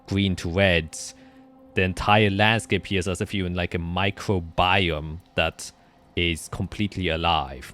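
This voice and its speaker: Guy running a tabletop game, low voice, describing scenery in a measured way, faint music behind. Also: a bit bright. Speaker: game master. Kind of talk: improvised descriptive tabletop narration.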